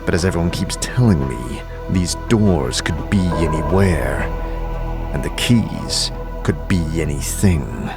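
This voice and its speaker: A man's voice: very deep voice, expressive, a little slow, loud music behind the voice. A man performing dramatic scripted narration for an audio drama. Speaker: narrator and lead actor.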